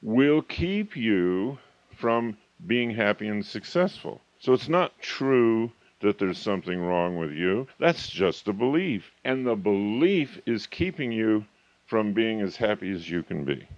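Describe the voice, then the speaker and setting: A man in his fifties or older speaks in a low, highly animated voice, pressing his points as a motivational coach. He stretches out key phrases for emphasis. The recording is dry and a little dull. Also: a little slow, no room sound. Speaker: coach and author. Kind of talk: motivational talk. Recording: slightly muffled, dry recording.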